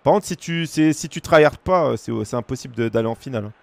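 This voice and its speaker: A man in his thirties from France, streaming a football game and chatting casually with viewers, breaking into shouts at big moments. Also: mid-range voice, fast talker, gravelly, a little hiss or hum. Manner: excitable, shouts at goals, chats casually with viewers. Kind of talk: live gaming commentary to chat. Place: small room at home.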